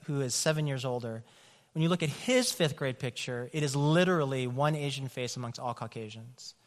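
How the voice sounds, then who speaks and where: A man's voice: mid-range voice, a bit bright. A man from California, a pastor, telling personal stories in a loose conversational way with many fillers. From California, USA. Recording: long hall reverb.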